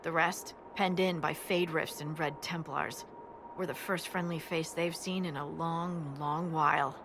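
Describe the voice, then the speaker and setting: A scout giving reports in a fantasy game. She is dry and plain-spoken in a fairly low voice, with clear ambient noise around her. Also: steady tone, a little slow. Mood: grim, wry. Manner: matter-of-fact, dry reporting. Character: plain-spoken, wry female army scout. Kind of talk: video game dramatic acting.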